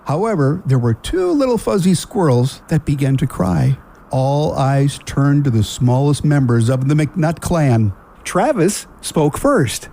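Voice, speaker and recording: A man's voice: a little high, very animated, measured pace, gravelly, mellow. A man narrating a scripted children's story as the narrator of a radio drama. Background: guitar music.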